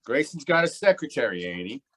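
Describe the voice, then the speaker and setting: A man's voice: medium pitch, sing-song, brisk, a bit bright. A man playing a cocky, stubborn racketeer boss in an old time radio crime drama, with brash, slangy tough-guy talk. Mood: smug.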